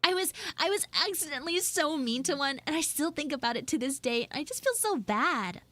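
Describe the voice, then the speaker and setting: Tsundere schoolgirl for anime-style audio roleplay, played by a woman: high voice, pouty, defensive, halting, close dry sound. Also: expressive, fairly bright tone, no room sound. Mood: sheepish. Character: flustered, defensive tsundere anime schoolgirl, mean but secretly soft. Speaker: livestreamer and VTuber voice actress. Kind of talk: improvised live audio roleplay with chat asides.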